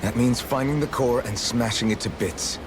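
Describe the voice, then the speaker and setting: An actor playing a steady, earnest young swordsman leader in a video game, direct, with music behind. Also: medium pitch, moderate pace, noisy background, natural voice. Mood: resolute. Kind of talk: English video game cutscene acting.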